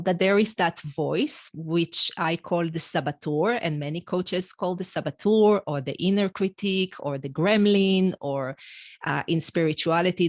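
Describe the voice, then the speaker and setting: A woman's voice: lowish voice, no room sound, clean studio sound. A middle-aged woman with an Israeli accent and Hebrew as her first language, telling her own story in a reflective, candid interview style. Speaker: podcast guest, executive coach and author. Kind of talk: conversational interview, personal storytelling.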